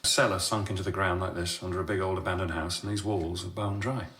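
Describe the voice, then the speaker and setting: A man's voice: low voice, fairly even intonation, fast, fairly bright tone. An actor playing a cheeky male technician in a radio drama, bantering. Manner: jokey, bantering.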